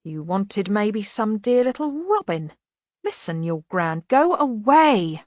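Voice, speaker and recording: A woman's voice: medium pitch, big pitch swings, slow pace, no echo. Woman doing a cheeky talking raven.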